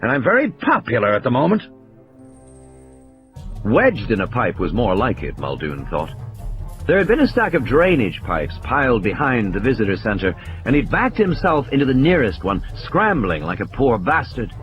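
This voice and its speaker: A man's voice: on the low side, wildly swooping pitch, moderate pace. A man reading dramatic, scripted audiobook narration as the narrator of a story. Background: music bed.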